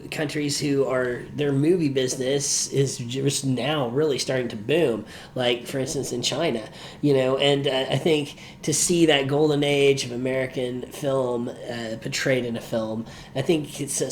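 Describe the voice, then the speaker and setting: A man's voice: mid-range voice, fairly even intonation, normal speed. A man co-hosting a podcast, giving his opinions in a casual, conversational way. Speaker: podcast co-host. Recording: faint background noise.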